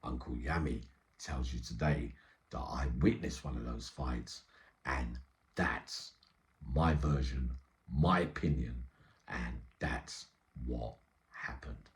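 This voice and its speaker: A man's voice: a bass voice, slow pace. A middle-aged man telling an eyewitness story informally, in streetwise slang with frequent check-in tags. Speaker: storyteller and channel host.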